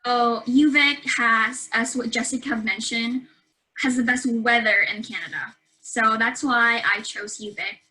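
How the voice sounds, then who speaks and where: Bright-voiced young Filipino woman student. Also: a little high, steady tone, unhurried, poor audio. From Philippines. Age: young adult. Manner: chatty, with fillers and light laughs. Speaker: university student. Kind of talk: casual webinar introduction.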